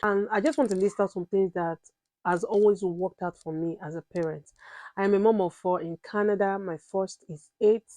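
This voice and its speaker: A woman's voice: mid-range voice, steady tone, normal speed, mellow, dry recording, poor audio. A woman in her thirties with a Nigerian accent, chatting casually to the camera as a vlogger and mother, often repeating herself and tagging on "right?". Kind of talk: casual sit-down vlog talk.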